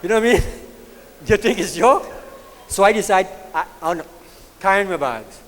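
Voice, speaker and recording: A man from Guyana with a Guyanese accent, telling a stand-up story live on stage in an animated, drawling way. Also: high-pitched, normal speed, long reverb tail, a little hiss or hum. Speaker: stand-up comedian. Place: live comedy venue with an audience.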